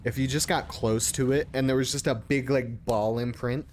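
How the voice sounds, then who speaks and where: A man's voice: mid-range voice, steady tone, moderate pace, darkish tone, a little hiss or hum. A young adult man giving casual, crude, joking live commentary as he plays a game. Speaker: streamer playing a game.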